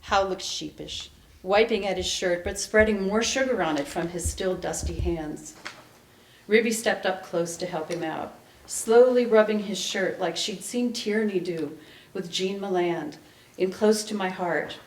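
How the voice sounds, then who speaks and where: A woman author giving a dramatic, measured reading of fiction to be heard at a live event. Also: medium pitch, slight background noise. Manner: measured narration, acting out the dialogue. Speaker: author reading from own novel. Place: indoor library event space. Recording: echoey room, public event recording.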